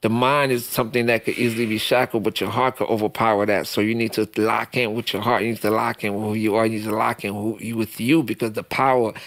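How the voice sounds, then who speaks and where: A man's voice: fairly low pitch, flat delivery, quick pace, hardly any pauses, fairly bright tone, no echo. Man from Haiti, passionate, preachy, swears a lot, dry studio mic. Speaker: guest. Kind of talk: casual interview talk. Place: small podcast studio. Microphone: studio mic on boom.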